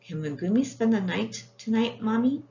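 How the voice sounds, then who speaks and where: A woman voicing an excited, affectionate young girl in a gushing children's storybook reading. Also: a little high, normal speed, gravelly, pitched above the performer's own voice. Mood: eager. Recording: echoey room, dull sound, faint noise.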